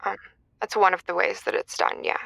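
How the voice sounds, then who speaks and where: An actress playing a blunt, deadpan, disgruntled caller with a witchy streak in an audio drama. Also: mid-range voice, a little fast, vocal fry, dry recording, rough recording. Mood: deadpan menace. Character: deadpan, disgruntled female caller who casts curses. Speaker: caller to an advice show.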